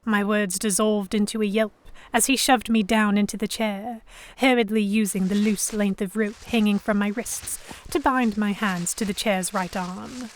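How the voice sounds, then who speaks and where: A woman playing an anxious, indignant young captive who narrates her ordeal in expressive, first-person storytelling for an audio drama. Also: mid-range voice, normal speed, slight background noise, close to an everyday voice. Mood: tense.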